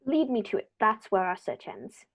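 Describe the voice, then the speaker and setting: A woman playing a sharp, confident male detective in a radio play, crisp and brisk as he reasons through the clues. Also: medium pitch, very animated, exaggerated voice. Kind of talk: amateur radio play acting.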